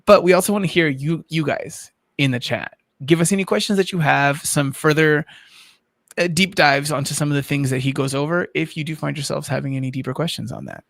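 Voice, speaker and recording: A man with a fairly high voice full of creaky fry hosts a livestream. He chats casually with a guest and the audience, sounding close and dry. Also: brisk, fairly bright tone, dry recording. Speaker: livestream host. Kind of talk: casual hosting chat.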